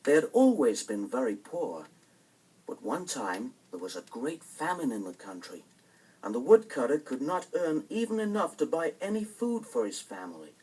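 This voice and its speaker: Male bedtime storyteller: lively, swooping delivery, slightly husky, on an old vinyl record with music. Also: mid-range voice, normal speed, far from the mic, rough recording. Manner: dramatic, expressive. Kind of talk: scripted children's bedtime story narration.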